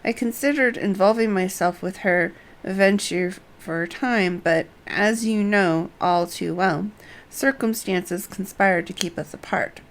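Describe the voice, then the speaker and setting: A woman's voice: medium pitch, a little slow, rough recording. A woman game streamer commenting live and reading dialogue aloud.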